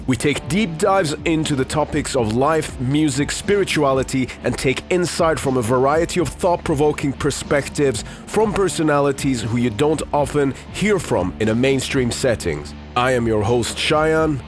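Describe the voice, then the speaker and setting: A man's voice: medium pitch, animated, moderate pace, hardly any pauses, soft background music. A man in his thirties from Iran, hosting his own podcast in a candid, rambling way with many fillers. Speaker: podcast host. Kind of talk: casual solo podcast talk.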